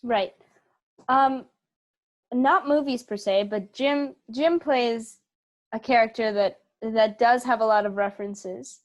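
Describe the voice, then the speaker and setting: A woman's voice: a little high, slow. Young woman explaining in an interview. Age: young adult. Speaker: theater performer and interview guest. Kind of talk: conversational interview answers.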